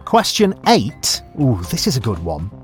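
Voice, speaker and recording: A man hosting a quiz, putting questions to a contestant in an upbeat, lively way. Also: mid-range voice, a little slow, soft background music. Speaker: quiz host.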